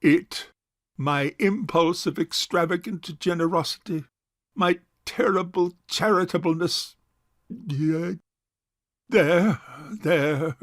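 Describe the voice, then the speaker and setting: Man acting a slow, pompous, fussy rich uncle. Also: on the high side, dry recording, clean studio sound. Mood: grandly suffering. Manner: formal, measured, pompous. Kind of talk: stage farce audio drama acting.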